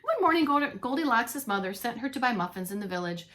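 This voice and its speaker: Female preschool story reader, higher-pitched and bright, expressive delivery for little listeners. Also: fast talker, slight background noise. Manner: animated, with playful asides to young listeners. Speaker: preschool teacher reading a story aloud. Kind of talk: expressive children's read-along narration.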